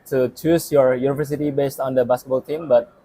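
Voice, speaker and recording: A man's voice: medium pitch, fairly even intonation, brisk, warm tone. A young man, an assistant coach, recounting his path in a casual chat with many ums and hesitations. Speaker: former player and assistant coach.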